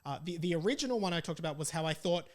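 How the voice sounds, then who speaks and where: A man's voice: fairly high pitch, expressive, very fast. A man hosting a solo podcast in a casual, jokey way, repeating phrases for emphasis. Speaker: podcast host.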